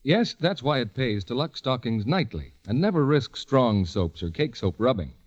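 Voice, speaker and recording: A man announcing on 1940s radio with a polished, emphatic sponsor-pitch delivery. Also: darkish tone. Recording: muffled vintage 1940s radio recording.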